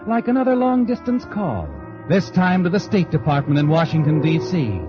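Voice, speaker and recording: Taunting American mystery narrator over music. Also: male voice, sits high, expressive, normal speed. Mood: ominous, insinuating. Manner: dramatic, insinuating. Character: sinister, taunting all-knowing male storyteller addressing the heroine. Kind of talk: 1940s radio mystery narration in second person. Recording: muffled vintage radio recording. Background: dramatic music.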